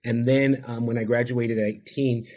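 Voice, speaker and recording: A man whose first language is Spanish talks as a guest on a podcast about his own past. He is an addiction counselor, and he speaks in an earnest, reflective, heartfelt way. His voice sits at an ordinary middle pitch for a man. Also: little pitch movement, normal speed, a little mellow. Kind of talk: podcast interview, personal storytelling.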